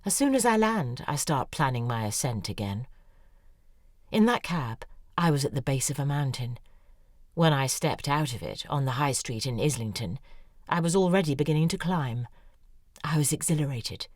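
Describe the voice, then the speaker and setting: An actress playing a sharp-tongued woman novelist telling her past in a wry, self-mocking way. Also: very deep voice, animated, normal speed, no room sound, clean studio sound. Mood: wry storytelling. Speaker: lead character in audio drama. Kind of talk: dramatic monologue, audio drama acting.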